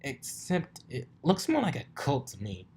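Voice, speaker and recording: Guy doing a cocky girl pegasus pony, higher voice, mouthy and excitable, cartoon fanfic style. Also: unhurried, husky, exaggerated voice. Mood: cocky teasing. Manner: cocky, mocking, exclamatory. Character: brash, teasing young female pegasus pony, easily panicked.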